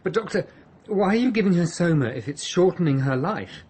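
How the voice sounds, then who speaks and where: An actor playing a scheming, earnest underling in a radio drama. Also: male voice, high voice, lively intonation, a little fast, darkish tone, slightly rough. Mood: troubled. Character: scheming, earnest male underling, quietly defiant.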